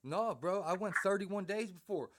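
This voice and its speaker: A man's voice: high-pitched, moderate pace, a bit bright. An American man hosting a conversation in casual slang, venting emphatically in a rambling way. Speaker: host and interviewer, former prisoner. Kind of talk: casual, slangy chat with frequent "bro" and "man".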